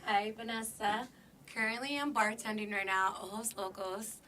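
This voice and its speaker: A woman's voice: medium pitch, fairly even intonation, normal speed, bright, forceful tone, slight background noise. A woman in her thirties, a podcast guest, introducing herself in an easygoing, chatty way. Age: 30s. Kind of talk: casual self-introduction. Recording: distant from the mic.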